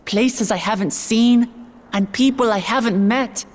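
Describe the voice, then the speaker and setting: A woman voicing an earnest young princess and hopeful heir, giving a measured, sincere speech in a video game cutscene. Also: a little high, a little mellow. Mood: hopeful, resolute.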